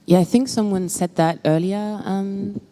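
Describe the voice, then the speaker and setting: A woman's voice: mid-range voice, steady tone, unhurried, mellow, a little hiss or hum. A woman in a reflective group conversation, speaking casually and agreeably with many fillers. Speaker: discussion participant. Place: exhibition hall in a museum. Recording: long hall reverb.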